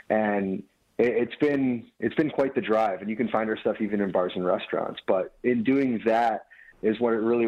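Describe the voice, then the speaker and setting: Low-voiced man on a tinny phone line. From Dearborn, Michigan. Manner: earnest, rambling with many restarts. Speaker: guest, food business owner. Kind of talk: casual radio interview.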